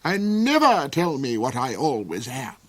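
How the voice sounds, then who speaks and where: A man's voice: medium pitch, sing-song, a little slow, pitched above the performer's own voice. A man voicing a cruel, cold, ranting old duke, shrieking, snarling and cackling in an audiobook reading. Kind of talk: audiobook character voice.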